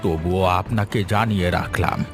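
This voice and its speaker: A man's voice: low-pitched, moderate pace, music in the background. A man voicing a poor, humble old villager in a fairy-tale cartoon, deferential and plaintive. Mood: humble, troubled.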